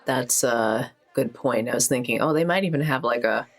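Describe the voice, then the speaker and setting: A man's voice: medium pitch, moderate pace. A man playing a tabletop game, chatting casually and thinking aloud in a tentative way.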